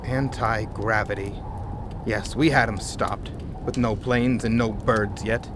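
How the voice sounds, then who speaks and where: A wry polar scientist, noisy backdrop. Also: male voice, lowish voice, steady tone, slow pace. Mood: bitter, wry. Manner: wry, explanatory. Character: sharp-minded polar scientist, wry, awed and alarmed. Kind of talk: radio drama acting. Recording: noisy outdoor-like ambience behind dialogue.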